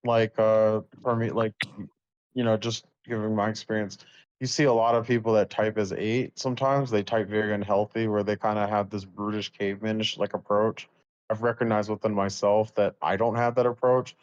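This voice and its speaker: A man's voice: on the low side, level tone, moderate pace, a little mellow, clean tone. Chatty man, dull lo-fi audio. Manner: slangy, verbose, rambling. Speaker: guest being personality-typed. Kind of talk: casual chat.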